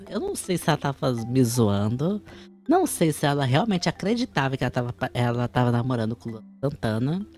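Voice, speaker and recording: Casual female host, Brazilian accent, low register, music underneath. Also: a little mellow. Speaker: host of an online chat show. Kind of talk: casual chat.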